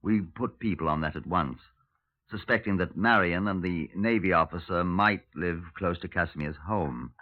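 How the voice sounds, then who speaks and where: An actor playing a world-weary middle-aged police superintendent in a 1950s radio crime drama, speaking in a dry, deliberate, formal way. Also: male voice, deep voice. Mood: gloomy narration. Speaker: detective and narrator. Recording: muffled, narrow-band 1950s radio recording.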